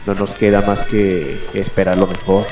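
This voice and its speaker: A man hosting a late-night mystery radio show, speaking in dramatic, deliberate phrases with pauses between them. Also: low voice, level tone. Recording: echoey voice over background music. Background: music.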